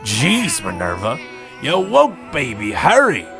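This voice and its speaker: A man's voice: medium pitch, huge pitch swings, slow, gravelly, music in the background, full character voice. A man voicing a folksy, eager-to-please giant groundskeeper in a comic audio story. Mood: grumbling.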